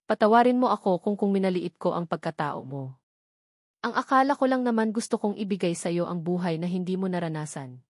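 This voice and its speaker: A woman's voice: lowish voice, lively intonation, no echo. Lady doing a rich older doña mom for an audio love story, pleading, full of regret, pretty dry recording. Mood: tearful apology. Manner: pleading, halting with repeated words. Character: remorseful, pleading wealthy mother, a tearful older doña. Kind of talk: audiobook melodrama acting.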